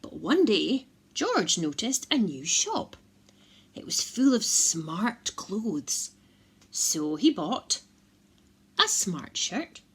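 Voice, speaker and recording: A woman narrating a children's bedtime story, expressive and addressing small children. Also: on the low side, breathy edge.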